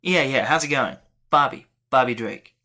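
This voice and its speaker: Bright, brash teenage boy, voiced by a woman. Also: extremely low pitch, animated, moderate pace, exaggerated voice. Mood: breezy. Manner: casual, brash. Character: cheeky, boastful sixteen-year-old boy. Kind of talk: audio drama acting.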